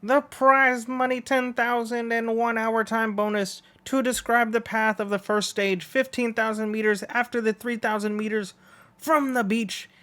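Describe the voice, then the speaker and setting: A man voicing an excited horse race announcer from a manga, with emphatic, repetitive commentary calls. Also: extremely high pitch, unhurried, pitched above the performer's own voice, full character voice. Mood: brisk announcing.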